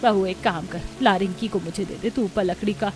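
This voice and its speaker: A woman's voice: mid-range voice, normal speed, close to an everyday voice. Actress as elderly cartoon mother-in-law, kindly, firm, rural household, rain and faint music. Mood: helpful.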